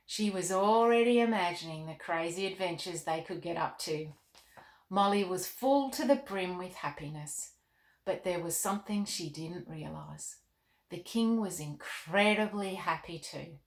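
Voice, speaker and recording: A woman's voice: on the low side, reverberant, low-quality recording. A woman hosting a children's story show, narrating and chatting expressively to young listeners as the author. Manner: clear, expressive, addressing children.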